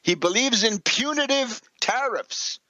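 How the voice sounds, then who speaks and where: A man's voice: very high-pitched, animated, unhurried, bright, forceful tone, dry recording. A man giving an opinionated, off-the-cuff political monologue as a radio commentator, emphatic and sardonic, lecturing with rhetorical asides.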